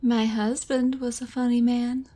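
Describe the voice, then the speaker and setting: A mellow, high female voice an actress in role as a mysterious rich widow in a noir audio drama. She is cool and poised, taking her words very slowly. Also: little pitch movement, close to an everyday voice. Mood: cool, sultry. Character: cool, mysterious, wealthy femme fatale widow.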